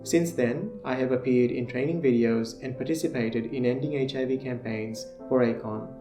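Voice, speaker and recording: A man's voice: medium pitch, steady tone, moderate pace, echoey room, music in the background. A man from Fiji earnestly reading a scripted self-introduction as a podcast co-host.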